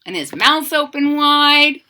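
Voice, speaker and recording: A woman reading a picture book aloud in a theatrical, drawn-out way, coaxing young listeners with questions and explanations. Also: high voice. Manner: animated, theatrical, drawn-out emphasis; coaxing, explaining asides to children. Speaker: children's story reader and channel host.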